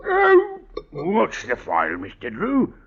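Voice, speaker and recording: A crusty old sea dog in vintage radio drama. His high voice swoops wildly through salty, theatrical sailor talk. The recording is muffled. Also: wildly swooping pitch, takes it slowly, a bit bright, heavily acted. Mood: crying out. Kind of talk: 1950s radio character acting.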